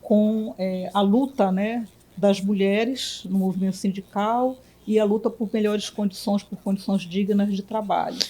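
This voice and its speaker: A woman from Belém, a physician and union director, answering interview questions in a reflective way with many pauses and restarts. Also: medium pitch, steady tone, warm tone. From Belém, Brazil.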